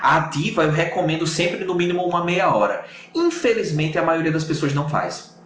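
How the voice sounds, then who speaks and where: A man's voice: a little high, lively intonation, a little fast, fairly bright tone. A man with a northeastern Brazilian accent, explaining things as an English teacher in a casual online lesson, often repeating points for emphasis. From Natal, northeastern Brazil. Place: indoors on a Skype video call. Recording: roomy echo with faint noise.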